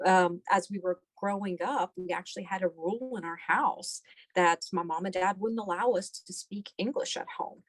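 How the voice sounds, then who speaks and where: A woman's voice: fairly low pitch, normal speed, dry recording, low-quality recording. Middle-aged woman, doctor interviewee, conversational, explanatory, repeats phrases for emphasis, dry sound.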